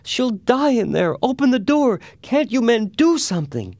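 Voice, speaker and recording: A man puts on a young storybook mother in a state of terror. The voice is pushed very high and frantic, swinging wildly as she screams for help. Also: sing-song, moderate pace, a little mellow, no echo, exaggerated voice. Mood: hysterical. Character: hysterical young mother, terrified for her child.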